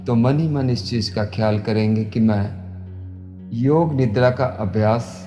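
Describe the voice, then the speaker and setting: Mellow man guiding a meditation. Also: mid-range voice. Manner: measured, instructional, repetitive phrasing. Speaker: yoga nidra instructor. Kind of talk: guided meditation instruction. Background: new-age music.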